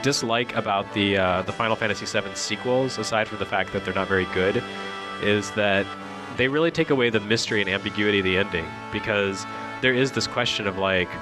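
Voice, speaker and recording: A man's voice: on the low side, fairly quick. A man giving casual, joking commentary on video game cutscenes in a wry manner, as a critic and co-host. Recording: loud music behind the voice. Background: video game music.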